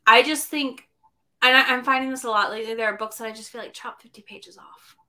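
A woman's voice: fairly high pitch, fairly even intonation, fast talker, sharp tone. A woman hosting a podcast, chatting casually about a book and thinking aloud as she goes. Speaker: podcast host.

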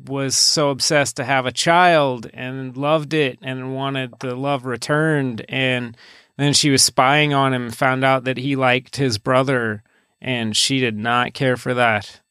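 A man's voice: mid-range voice, steady tone, takes it slowly, sharp tone, clear, resonant tone, dry recording, studio quality. A man co-hosting a podcast, discussing a film casually in a hesitant, droll way. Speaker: podcast co-host.

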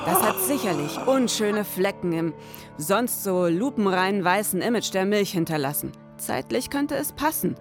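A woman's voice: mid-range voice, very animated, moderate pace, music in the background, lightly acted. A woman playing a cynical, exasperated detective in a satirical noir podcast drama, sceptical and dramatic. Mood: accusing. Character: cynical, exasperated female detective sidekick in a parody.

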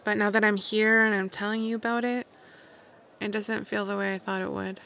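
A woman's voice: mid-range voice, little pitch movement, brisk, gravelly, a bit bright. A woman acting in an intimate audio drama as a guarded, wistful young woman back home after years away. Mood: guarded, confessional.

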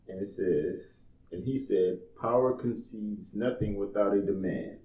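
A man's voice: fairly low pitch, measured pace, dark tone. A man co-hosting a podcast in a casual, conversational style. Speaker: podcast co-host. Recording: dull, echoey room recording.

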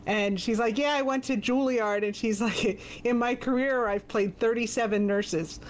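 A woman's voice: on the high side, steady tone, moderate pace, slight background noise. A woman chatting casually, telling stories in a hesitant way with many fillers. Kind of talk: casual chat.

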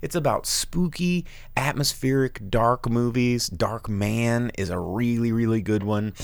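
A man hosting a casual chat show, rambling and animated, with sudden loud bursts in a big dad voice. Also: medium pitch, a little slow, vocal fry, no echo. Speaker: podcast host and bar owner.

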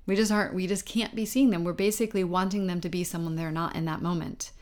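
A middle-aged woman co-hosts a parenting talk show in a relaxed, conversational way. Her voice sits a little low for a woman. She speaks earnestly, often breaks off and restarts mid-sentence, and laughs at herself now and then. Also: quick pace. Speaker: parenting podcast co-host. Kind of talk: casual conversation.

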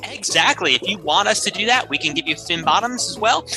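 Man acting a high, punchy, slick commercial salesman. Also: lively intonation, pushed and bright, soft background music, heavily acted. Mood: upbeat selling. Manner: brisk, emphatic, persuasive. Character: upbeat slick surgery salesman in a mock commercial.

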